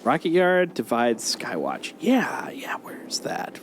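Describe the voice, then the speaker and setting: A man's voice: medium pitch, huge pitch swings, slow, in a whisper. A man giving casual gameplay commentary, thinking aloud and joking with a co-player. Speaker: game streamer. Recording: loud game and engine noise. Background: loud video game sound with vehicle noise.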